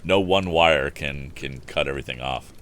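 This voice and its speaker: A man's voice: rumbling low, moderate pace, a bit bright. A man hosting a storytime livestream, reading and chatting casually into a microphone. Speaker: livestream storytime host, reader. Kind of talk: animated book reading with casual asides. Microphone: streaming microphone. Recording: faint background noise on livestream.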